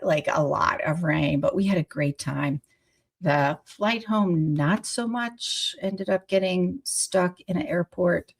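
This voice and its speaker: A woman's voice: on the low side, gravelly. A woman in her forties hosting a podcast on play therapy, stuffy from a cold, chatty and laughing often. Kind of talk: casual solo podcast talk.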